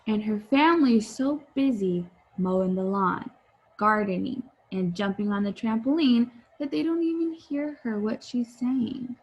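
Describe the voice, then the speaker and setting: A woman teacher reading a picture book aloud to young viewers, with deliberate, expressive phrasing. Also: medium pitch, dull audio. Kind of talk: children's read-aloud, addressing young viewers.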